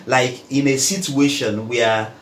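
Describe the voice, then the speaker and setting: A man's voice: medium pitch, steady tone, slow pace, a bit bright. A man, talking earnestly as co-host and repeating points for emphasis. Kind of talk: casual conversation. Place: room in England. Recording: echoey room, faint background hiss.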